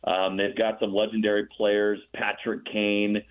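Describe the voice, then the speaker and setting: A man's voice: lowish voice, flat delivery, measured pace, clean tone. A man calling in as a guest, talking through stats in a chatty, fact-heavy way with lots of restarts. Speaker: radio play-by-play announcer, guest. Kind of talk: casual radio interview. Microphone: phone line. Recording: tinny phone line.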